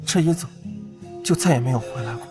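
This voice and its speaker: An actor playing a cocky, impulsive young lord in a period drama, with a hurt streak underneath. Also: male voice, mid-range voice, fast, a little mellow, close to an everyday voice. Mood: wounded. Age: young adult. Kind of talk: Chinese period drama acting. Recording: prominent background music.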